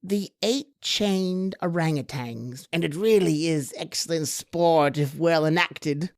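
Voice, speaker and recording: A woman voicing a cunning male dwarf jester, slyly scheming, with theatrical, deliberate phrasing in a radio horror drama. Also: deep voice, no echo, clean studio sound. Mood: sly enticement.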